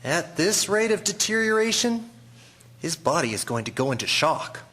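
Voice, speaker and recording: An actor playing a grave, dramatic Victorian doctor, theatrical and emphatic, in an audio drama. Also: male voice, a little high, big pitch swings, moderate pace, pushed and bright. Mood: grim. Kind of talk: scripted audio drama acting.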